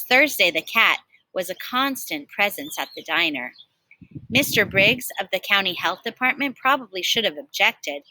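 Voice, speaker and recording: A woman teacher reading a picture book aloud to children in an expressive storytelling style. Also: medium pitch, rough recording.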